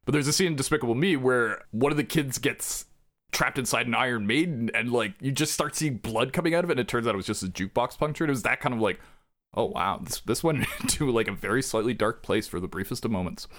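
A man's voice: medium pitch, expressive, fast, fairly bright tone. A young man co-hosting a podcast, chatting in a joking, self-deprecating way. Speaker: podcast co-host. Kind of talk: casual chat.

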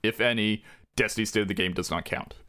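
A man's voice: lowish voice, quick pace, pushed and bright. A man in his forties co-hosting a casual gaming podcast, bantering with self-mocking humor. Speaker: podcast co-host. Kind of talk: casual chat.

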